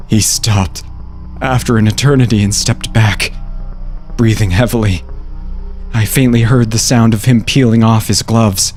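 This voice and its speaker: A man's voice: mid-range voice, darkish tone. A man reading a first-person horror story with an emotional, dramatic delivery. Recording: music behind the voice. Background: music.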